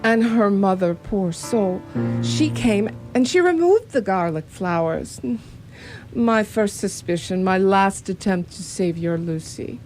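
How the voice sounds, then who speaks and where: Commanding older professor and vampire hunter for radio drama, played by a woman: deliberate, unhurried, solemn, oddly ordered formal phrases, faint music underneath. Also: mid-range voice, expressive, darkish tone. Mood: grave, instructive. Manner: formal, deliberate, inverted foreign-style phrasing.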